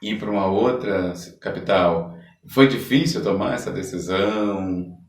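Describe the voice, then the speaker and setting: Low-voiced Brazilian man in echoey room. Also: measured pace. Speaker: podcast host. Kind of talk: casual conversational interview. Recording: roomy echo, distant microphone.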